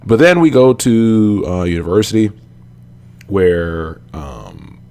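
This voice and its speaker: A man co-hosting a casual esports podcast, talking with a slightly raspy, sniffly voice from a cold, loose and filler-heavy. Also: low voice, takes it slowly. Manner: relaxed, lots of ums and you-knows. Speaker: podcast co-host.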